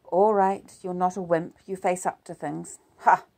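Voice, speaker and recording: A woman voicing a distraught mother who turns sharp and accusing, her voice yelping into shouts. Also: steady tone, unhurried, gravelly. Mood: bitter, pleading. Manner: shrill, breaking into yells.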